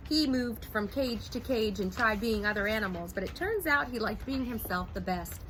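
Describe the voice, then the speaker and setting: A woman teacher reading a picture book aloud to her first graders, in a slow, expressive storytelling voice. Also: a little high, slight background noise, poor audio.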